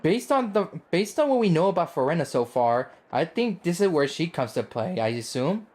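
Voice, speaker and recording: Animated man doing casual game commentary. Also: on the high side, moderate pace. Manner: slangy, stumbling and repeating phrases. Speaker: game streamer.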